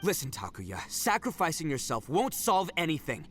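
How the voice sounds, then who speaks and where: An actor playing a brash, swaggering young male oni brawler in a game, talking loud and blunt. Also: a little high, wildly swooping pitch, moderate pace, soft background music. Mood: earnest. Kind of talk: game cutscene voice acting.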